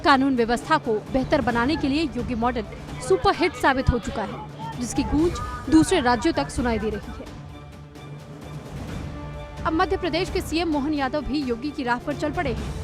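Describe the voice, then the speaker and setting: Female news narrator, high register, scripted bulletin delivery, clear music bed underneath. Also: moderate pace. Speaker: news presenter.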